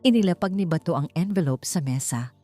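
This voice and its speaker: A woman reading a scripted, dramatic suspense love story in short, measured phrases. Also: lowish voice, animated, dark tone. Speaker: narrator of a story channel. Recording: clear music bed behind the voice. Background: background music.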